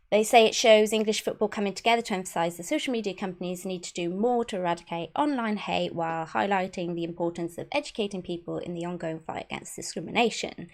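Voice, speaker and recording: A woman hosting a casual football panel over a video call, upbeat and chatty as she brings in each guest. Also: on the low side. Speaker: host.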